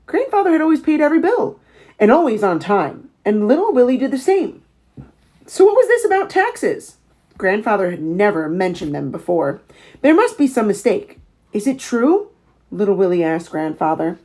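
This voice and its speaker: A woman narrating a children's novel aloud in an expressive storytelling style. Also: mid-range voice. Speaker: audiobook reader.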